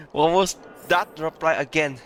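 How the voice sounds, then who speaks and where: A man's voice: a little high, fairly even intonation, takes it slowly, fairly bright tone, a little hiss or hum. A man giving casual gameplay commentary in muttered asides as he plays. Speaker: gamer narrating his playthrough. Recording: game music behind.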